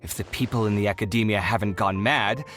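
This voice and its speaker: An actor playing a proud, touchy young artist in a video game, with a haughty, exasperated, theatrical delivery. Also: male voice, on the low side, brisk, a bit bright, faint music behind, close to an everyday voice. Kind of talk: animated video game voice acting.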